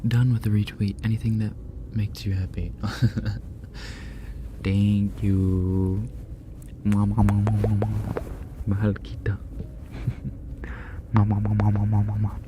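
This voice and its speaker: A man streaming ASMR, chatting casually and softly with viewers, often dropping into a whisper, on a binaural microphone. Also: fairly low pitch, monotone, slow pace, pauses often, background noise. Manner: soft-spoken, often whispering, chatty and self-deprecating. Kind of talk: casual ASMR livestream chat reading donations.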